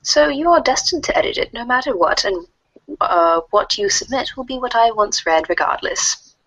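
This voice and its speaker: Woman acting a husky, formal alien troll schemer. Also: fairly high pitch, expressive, fairly bright tone, no room sound, poor audio. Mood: smug, formal. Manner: formal, verbose, deliberate. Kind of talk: readers theater of web comic chat logs.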